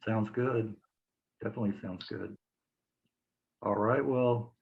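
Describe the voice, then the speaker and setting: A man's voice: fairly low pitch, slow pace, creaky, muffled recording. A man interviewing a guest over a call, talking hesitantly with many fillers and restarts. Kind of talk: casual interview chat.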